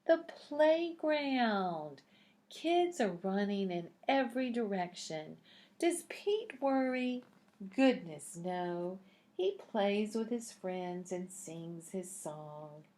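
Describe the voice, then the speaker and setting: A woman's voice: mid-range voice, expressive, slow pace, a little mellow. A woman reading a picture book aloud to young children in a teacherly way, putting questions to the listeners.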